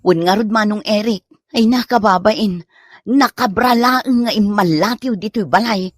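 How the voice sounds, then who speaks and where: A woman's voice: medium pitch, normal speed, no echo, studio quality, close to an everyday voice. A woman playing a bossy, amorous old Filipino wife and mother in a comic drama, with an Ilocano accent. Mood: chatty. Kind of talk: broad comic radio-style drama acting.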